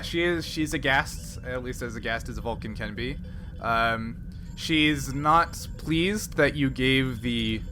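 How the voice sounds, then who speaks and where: A man's voice: mid-range voice, background noise. A man narrating as game master of a tabletop roleplaying game, explaining in a conversational way. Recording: music playing behind the voice. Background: music.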